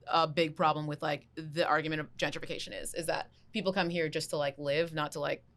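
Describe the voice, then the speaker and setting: A woman's voice: low voice, level tone, fairly quick, a bit bright. A young adult woman, a comedian guest on a podcast, chatting casually and rambling through stories with lots of "like". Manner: chatty, many "like" fillers, rambling storytelling. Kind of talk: casual chat.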